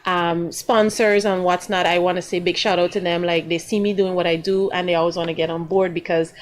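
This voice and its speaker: A woman from Guyana speaks as a guest singer-songwriter in a relaxed interview. She talks at length and with emphasis. Her pitch often jumps upward when she stresses a point. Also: a little fast. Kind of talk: casual interview chat.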